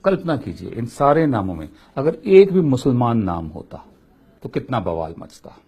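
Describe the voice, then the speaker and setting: Emphatic male political commentator, husky. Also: mid-range voice, sing-song, normal speed, a little mellow. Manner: rhetorical, emphatic questioning. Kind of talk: opinion commentary.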